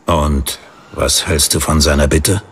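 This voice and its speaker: A man playing a proud, wry paladin husband with an earnest, measured manner in an audiobook drama. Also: a bass voice, fairly even intonation, husky, full character voice.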